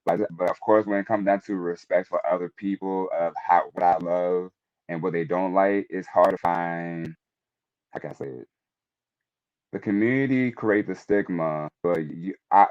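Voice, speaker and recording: Low-voiced young man, dull dry recording. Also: darkish tone, dry recording. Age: 20s. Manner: hesitant, searching for words. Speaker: panel participant. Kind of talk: casual personal reflection in a panel discussion.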